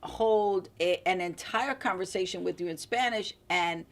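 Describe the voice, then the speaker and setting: A woman's voice: lowish voice, measured pace. Enthusiastic woman interview host. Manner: enthusiastic, personal, shares her own experience. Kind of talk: casual interview chat.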